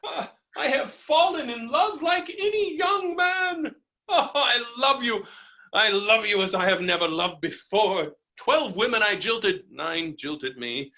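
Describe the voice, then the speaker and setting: An actor playing a hot-headed, stammering landowner who is helplessly falling in love, in a theatrical staged reading of a farce. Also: male voice, high voice, animated, creaky, bright, forceful tone, exaggerated voice. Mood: desperate, lovestruck. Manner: theatrical, stammering, swings from halting to bellowing.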